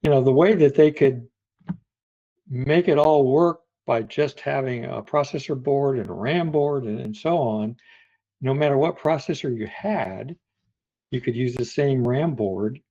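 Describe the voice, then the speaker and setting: A man's voice: mid-range voice, unhurried, dark tone, highs cut off. A man co-hosting a casual, conversational discussion of computer history. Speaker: podcast co-host.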